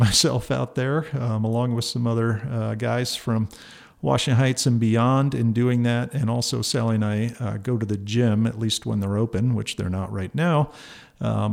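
A man in his fifties, a church pastor, talks through his podcast at an easy pace. His voice is gravelly and sits at an ordinary pitch, and he fills his pauses with ums and uhs. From northern New Jersey. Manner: relaxed, many ums and uhs, soft laughs. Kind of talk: casual church podcast monologue.

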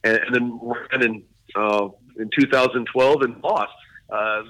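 Bright-voiced middle-aged man, halting speech. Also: mid-range voice, fairly even intonation, no echo, poor audio. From Hawaii and Southern California. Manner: halting, many fillers and restarts. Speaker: state attorney general. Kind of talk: radio interview guest.

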